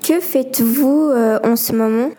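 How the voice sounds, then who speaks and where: A woman hosting a student radio show, reading interview questions with frequent hesitant pauses. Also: high voice, warm tone.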